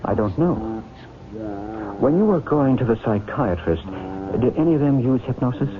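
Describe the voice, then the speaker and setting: An actor playing a calm psychic healer and hypnotist, measured, probing and authoritative, in old-time radio drama style. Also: male voice, medium pitch, background noise, lightly acted. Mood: calm, assured. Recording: tinny, band-limited old radio recording.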